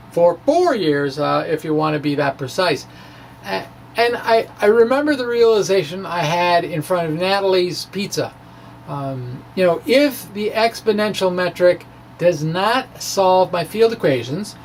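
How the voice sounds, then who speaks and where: Halting, wry man over background noise. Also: high voice. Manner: hesitant, halting, conversational storytelling. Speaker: amateur physicist and blogger. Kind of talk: dramatic reading of a personal science blog.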